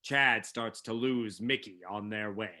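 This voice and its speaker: Guy narrating stage directions at a play reading, really sharp forceful voice, takes his time. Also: fairly low pitch, steady tone, a little slow.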